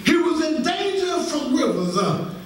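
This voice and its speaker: An American man preaching a Baptist sermon as a minister, with an emphatic delivery that moves between shouting and half-singing and leans on repeated refrains. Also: very high voice, sing-song, measured pace, crowd noise. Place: large church sanctuary, at the pulpit. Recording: echoey church hall from far off, congregation noise.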